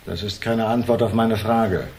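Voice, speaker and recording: A man's voice: fairly low pitch, steady tone, moderate pace, a little mellow, lightly acted. A man acting in a German crime radio drama as a menacing, bullheaded political boss, blunt, sneering and impatient.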